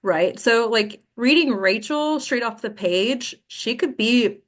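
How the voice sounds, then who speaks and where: A woman's voice: fairly high pitch, animated, takes it slowly, fairly bright tone. A woman hosting and coaching actors in a casual, instructive discussion, often checking in with short tag questions. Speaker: host and acting coach leading a discussion.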